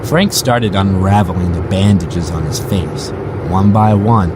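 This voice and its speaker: A man's voice: deep voice, unhurried, heavy background noise. A man narrating a first-person audio drama from a script.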